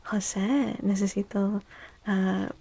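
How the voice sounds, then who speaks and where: Soft, breathy, slow-talking woman, near-whispering. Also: medium pitch, steady tone. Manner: slow, hushed, gentle, often slipping into near-whisper. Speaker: livestream host. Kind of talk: soft-spoken ASMR livestream chat.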